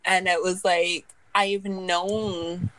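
A woman's voice: lowish voice, little pitch movement, very slow, fairly bright tone, dry recording, low-quality recording. A woman in her twenties chatting casually as a podcast co-host, rambling and filling her sentences with "like". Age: 20s. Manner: rambling, leans heavily on "like". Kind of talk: casual chat.

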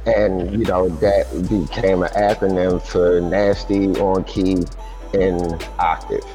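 A man being interviewed as a guest singer, telling stories in a relaxed, laid-back way. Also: low voice, slow pace, faint music behind. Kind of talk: casual interview.

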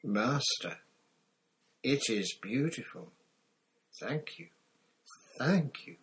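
A man voicing a fearful, fawning servant, whimpering and breathy, moaning and pleading in an audiobook story. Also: fairly low pitch, takes it slowly, fairly bright tone, slight background noise, low-quality recording. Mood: awed, fawning.

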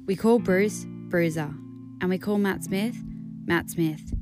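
An Australian woman giving a dramatic poetry reading in a deliberate, deadpan manner. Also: on the low side. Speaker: guest poetry reader. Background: plucked guitar music.

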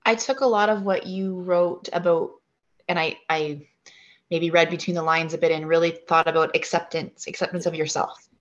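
Woman co-host, low voice, dull lo-fi recording; thoughtful questions. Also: steady tone, normal speed. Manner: thoughtful, searching for words. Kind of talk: casual interview questions. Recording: dull lo-fi sound.

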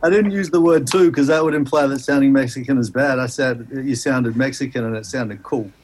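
A man's voice: mid-range voice, a little fast, a little mellow. A man who is a guest musician on a podcast, talking in sweary, joking, rambling banter over a video call. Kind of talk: casual banter.

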